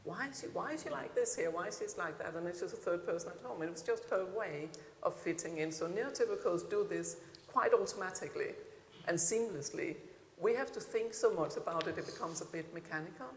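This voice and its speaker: Danish-accented woman with a gravelly voice. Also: lowish voice, lively intonation, fairly quick, slight background noise. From Denmark. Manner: reflective, candid, with dry humour. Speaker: keynote speaker. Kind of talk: answering audience questions at a panel. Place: large hall. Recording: echoey hall with faint ambience.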